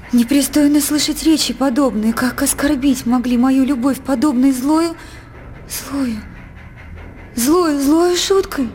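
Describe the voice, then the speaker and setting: High-voiced, innocent young princess. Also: female voice, a little mellow, slight background noise. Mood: shocked, distressed. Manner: earnest, formal, declamatory. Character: innocent young princess, devoted, modest, sorrowful daughter. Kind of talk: theatrical verse drama on radio.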